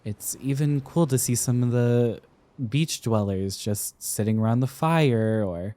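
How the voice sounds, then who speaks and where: A young man playing an affectionate, teasing sweetheart smitten with an old friend, speaking tenderly and haltingly in an intimate roleplay ASMR style. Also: medium pitch, warm tone, natural voice. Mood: wistful. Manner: tender, playful, halting with pauses and repeats.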